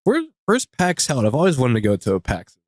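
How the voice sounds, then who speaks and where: A man's voice: mid-range voice, huge pitch swings, a little fast, darkish tone, no echo, studio quality. A man chatting casually as a podcast co-host, chipping in with short questions. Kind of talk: casual chat.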